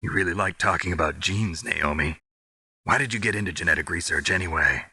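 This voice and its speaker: An actor playing a gruff, weary war veteran in a video game, stoic and blunt, with a raspy, clipped, deadpan delivery. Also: male voice, low voice, fairly quick, no room sound, clean studio sound, full character voice. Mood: reflective. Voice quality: very raspy, gravelly, husky. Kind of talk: dramatic video game voice acting.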